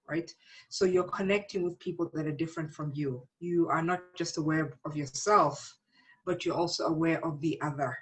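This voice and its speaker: Middle-aged woman, slightly low register. Thoughtful interview guest who explains things in a measured, conversational way. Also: low-quality recording. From born in Uganda, grew up in South Africa. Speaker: interview guest, psychologist and teacher.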